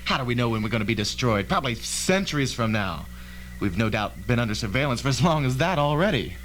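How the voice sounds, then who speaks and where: A man's voice: mid-range voice, big pitch swings, fast, fairly bright tone. A man playing a defiant, fatalistic, obsessed scientist in an old-fashioned radio drama, intense and theatrical. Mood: cynical. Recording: old amateur tape, faint hum.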